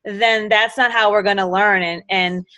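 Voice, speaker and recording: Woman, Boston accent, middle-aged, enthusiastic, rambling, bright voice, dry sound. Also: mid-range voice, moderate pace, no room sound, muffled recording. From Massachusetts. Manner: enthusiastic, rambling, quotes others in lively voices. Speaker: guest speaker. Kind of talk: motivational sales talk.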